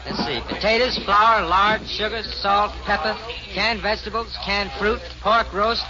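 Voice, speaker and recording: High-voiced comic cowboy sidekick. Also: male voice, fairly even intonation, measured pace. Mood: fretful. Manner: comic, grumbling. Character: excitable, wisecracking, hungry cowboy sidekick. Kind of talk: 1940s radio acting. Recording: muffled 1940s radio recording.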